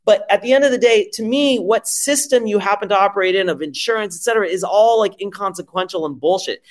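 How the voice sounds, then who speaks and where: A man from France, a founder answering interview questions in an emphatic, profane pitch full of rhetorical questions. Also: fast. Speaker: startup founder guest.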